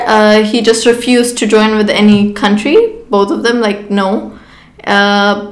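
A woman's voice: a little high, reverberant. An eighteen-year-old woman from Pakistan, a podcast guest explaining a topic in casual answers full of "like". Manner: frequent "like" fillers, explanatory.

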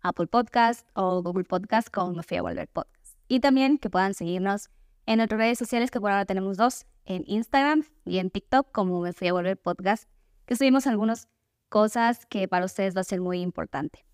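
A woman's voice: medium pitch, moderate pace, smooth, no room sound, studio quality. A woman with an Ecuadorian accent hosting a podcast in a conversational style. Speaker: podcast host.

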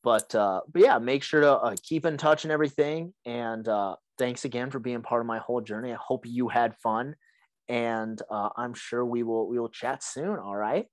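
A young man with a raspy, hoarse voice from being sick, hosting a casual podcast chat and rambling with lots of "like" and restarts. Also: medium pitch, moderate pace, dry recording. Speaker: podcast host.

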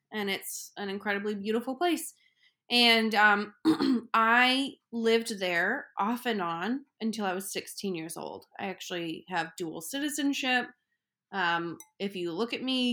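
A woman's voice: medium pitch, fairly bright tone. Chatty young woman telling her story. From Ecuador. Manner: reflective, chatty storytelling with many "like"s. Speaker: podcast guest. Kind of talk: casual chat.

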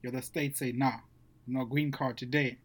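A man's voice: medium pitch, level tone, normal speed, breathy edge. A young man from Zimbabwe sharing his background in a casual podcast chat, using easy slang. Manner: casual slang, addresses friends as bro.

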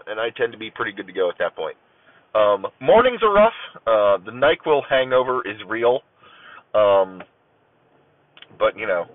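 A man from Ohio hosting his own podcast, rambling casually with many ums, stuffy and clearing his throat as if getting a cold. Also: fairly low pitch, slight background noise. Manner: rambling, many ums and uhs, self-deprecating. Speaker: podcast host. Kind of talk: casual solo podcast chat. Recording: tinny phone-like audio.

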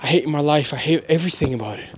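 A man of twenty-one giving a motivational personal monologue in a halting, repetitive, emphatic way. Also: a little high, darkish tone, slight background noise.

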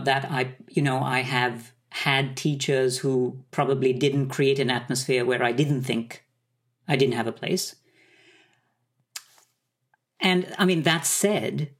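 A man from Sri Lanka, a conductor, answering interview questions in a thoughtful, measured way. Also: mid-range voice. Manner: thoughtful, measured, some hesitations. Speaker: conductor, guest.

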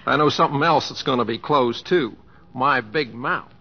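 A man's voice: mid-range voice, moderate pace, heavy background noise, natural voice. A man acting as a humble, wry, self-deprecating Oklahoma humorist with folksy, homespun speech in an old radio drama. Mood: easygoing, friendly. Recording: dull vintage radio recording.

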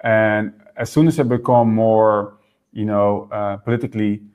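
A man raised in the Netherlands, speaking as an interview guest and explaining politics quickly, often repeating words as he goes. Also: fairly low pitch, level tone, a little mellow. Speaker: political party member, guest. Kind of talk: interview conversation.

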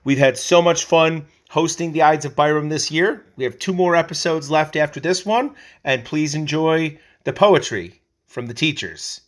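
A man's voice: fairly high pitch, normal speed. A man speaking as the host of a podcast, giving an introduction.

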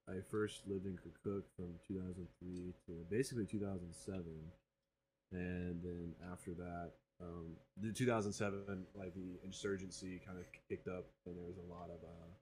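A young man co-hosting a casual podcast, talking in a halting way with many ums and restarts. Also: sits low, a little mellow, slight background noise, rough recording. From grew up in northern Iraq. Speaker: podcast co-host.

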